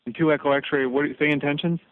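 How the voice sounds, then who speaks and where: A man's voice: fairly high pitch, steady tone, very fast, phone-quality sound. A man as an air traffic controller, making slightly hesitant procedural queries over the radio.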